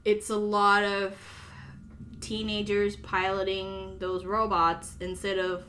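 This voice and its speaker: A woman's voice: medium pitch, level tone, takes it slowly, fairly bright tone, slight background noise. Chatty woman podcast host, English second language. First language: not English. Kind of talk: casual podcast chat.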